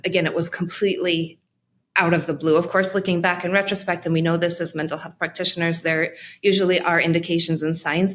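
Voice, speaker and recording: A woman guest, a mental health practitioner, telling a personal story in an interview in a reflective, halting way with frequent restarts and pauses. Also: on the low side.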